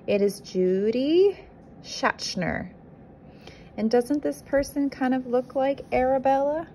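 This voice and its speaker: A woman's voice: high voice, slow pace, dark tone, slight background noise. A woman kindergarten teacher introducing a picture book to young children, chatty and explaining things simply. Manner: chatty, asks rhetorical questions, explains simply.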